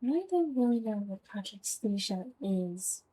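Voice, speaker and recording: A woman's voice: medium pitch, lively intonation, a little slow, mellow, slight background noise, rough recording. A woman streamer giving casual video game commentary and reading game text aloud. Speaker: virtual streamer playing a game.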